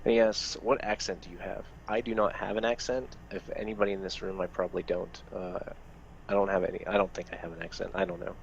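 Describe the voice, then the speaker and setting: Deep gravelly man answering questions. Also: level tone, fast, lo-fi sound, a little hiss or hum. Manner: plain, matter-of-fact, hesitant fillers. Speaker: head of gaming community staff. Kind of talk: casual group Q&A.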